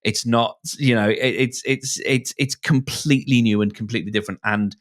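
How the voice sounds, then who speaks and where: A man speaking as a podcast guest in a reflective discussion, haltingly thinking aloud and circling back over his phrases. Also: medium pitch, steady tone.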